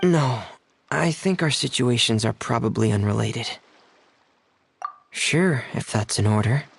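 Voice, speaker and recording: Shy, slow-talking, creaky-voiced teenage boy. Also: mid-range voice, a little hiss or hum. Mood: puzzled, reserved. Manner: hesitant, halting, quiet. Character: Freminet, shy soft-spoken teenage boy, reserved and apologetic. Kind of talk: video game voice acting.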